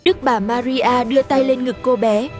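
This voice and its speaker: A woman's voice: sits high, steady tone, normal speed, a little mellow. A woman narrating a scripted fairy tale for children over music. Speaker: storyteller.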